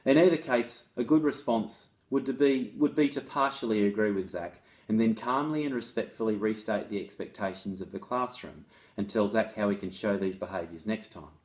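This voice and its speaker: A man's voice: fairly low pitch, normal speed. A man presenting as an education author, reading a case study aloud and explaining it in a conversational, instructive way. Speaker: author and presenter on restorative practices in schools.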